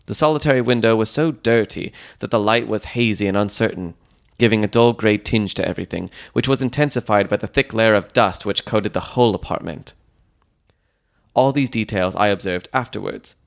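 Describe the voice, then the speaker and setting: Low-voiced man narrating a mystery. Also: fairly quick, creaky. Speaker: audiobook narrator. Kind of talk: scripted dramatic narration of a Victorian mystery.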